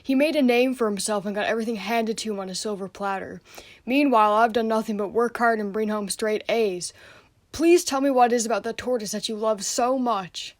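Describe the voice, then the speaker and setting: A woman's voice: fairly high pitch, fairly quick, dry recording, lightly acted. A young female student playing an aggrieved, jealous, overlooked son in a radio play. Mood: indignant. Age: teenager or young adult student.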